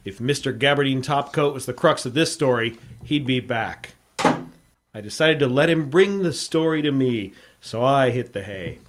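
An actor playing a terse, wry adventurer and reporter in an old-time radio noir drama, clipped and deadpan. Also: male voice, mid-range voice, moderate pace, slight background noise, close to an everyday voice. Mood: deadpan narration. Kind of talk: old-time radio noir acting and first-person narration.

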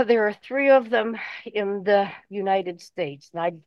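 A woman's voice: medium pitch, measured pace, no echo. Woman chatting, dull dry lo-fi sound. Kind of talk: casual chat. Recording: dull, slightly muffled phone audio.

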